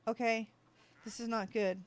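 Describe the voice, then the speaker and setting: A gaming streamer with a mid-pitched voice narrates play in a casual, offhand way. The talk drifts between thinking aloud and addressing animals in the game. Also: little pitch movement, moderate pace. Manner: talks to game animals and self. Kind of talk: casual gameplay commentary.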